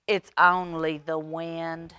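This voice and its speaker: Big storybook mouse, lower-pitched, slow, curt and sleepy, acted by a woman. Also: level tone, pushed and bright, smooth, no echo, full character voice. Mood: grumpy, dismissive. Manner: curt, dismissive.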